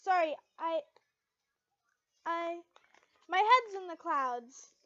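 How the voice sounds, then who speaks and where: A woman's voice: extremely high pitch, animated, takes it slowly, fairly bright tone, dry recording, muffled recording, pitched above the performer's own voice, heavily acted. A woman voicing an emotional, hot-headed teenage schoolgirl who stammers and exclaims, in an amateur story reading. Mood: flustered.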